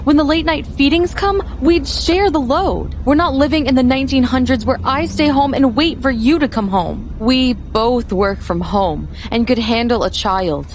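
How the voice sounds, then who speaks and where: An actress playing a determined, accusing young wife in an audio drama, insistent and reproachful. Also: female voice, sits high, normal speed, hardly any pauses, a little hiss or hum. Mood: insistent, hurt. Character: determined, accusing young wife who challenges her husband.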